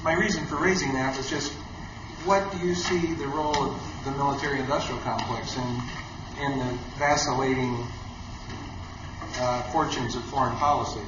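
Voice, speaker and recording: A man's voice: mid-range voice, steady tone, normal speed. A man in a lecture audience asking a tentative, hesitant question. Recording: echoey hall, muffled, noisy.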